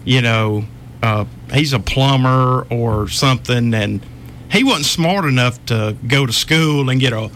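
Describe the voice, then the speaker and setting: A man's voice: mid-range voice, unhurried, a bit bright, a little hiss or hum. A man with a Southern accent, a tradesman speaking bluntly and folksily in a casual podcast chat, often laughing mid-sentence.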